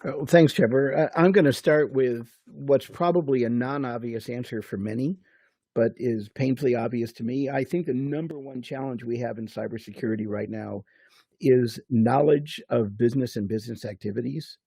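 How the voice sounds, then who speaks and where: A man in his sixties, a security officer on a panel, speaking in a measured, reflective way. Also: mid-range voice, vocal fry, warm tone, slightly rough, no echo. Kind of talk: panel discussion.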